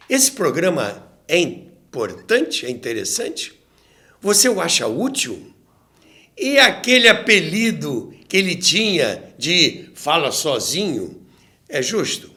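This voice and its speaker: A Brazilian man narrates a documentary in a slow, highly expressive voice. His pitch sits a little high and moves freely. He pauses deliberately for dramatic effect, like a storyteller addressing his audience. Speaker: host and narrator of a radio history channel.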